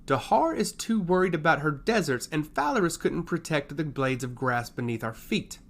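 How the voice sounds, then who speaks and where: A man's voice: fairly high pitch, lively intonation, moderate pace, vocal fry, fairly bright tone, full character voice. A man voicing a proud, skeptical lord with a passionate, scornful manner in a fantasy audio story. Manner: passionate, scoffing.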